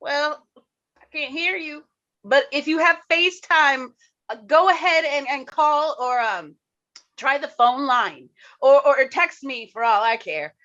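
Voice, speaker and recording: Dull, lo-fi call audio carries a flustered, chatty woman played by an actress. Her voice is fairly high and bright, and she stammers and repeats herself effusively in naturalistic stage-play dialogue. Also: unhurried. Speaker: actor in a staged play reading. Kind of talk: naturalistic dramatic dialogue, read online.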